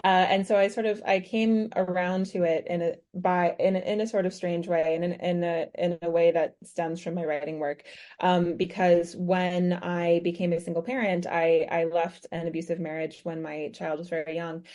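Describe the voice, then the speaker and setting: A woman with an American accent, in her thirties, talking as a podcast guest. She speaks in a low, mellow voice, thinking aloud with frequent ums and restarts. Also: little pitch movement, normal speed. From United States. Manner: thoughtful, many ums and restarts. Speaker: guest author. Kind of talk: podcast interview, conversational.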